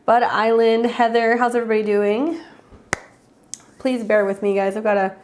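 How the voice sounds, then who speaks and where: A woman's voice: a little high, steady tone, moderate pace, a little mellow, a little hiss or hum. Congested woman, astrologer hosting a livestream, chatty, lots of ums, greets viewers. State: congested with a cold. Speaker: astrologer hosting live readings. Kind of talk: casual livestream chat.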